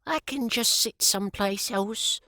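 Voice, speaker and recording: Man playing a timid old woman, soft, slow, high and thin, storybook reading. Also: dry recording, heavily acted. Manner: meek, soft, hesitant.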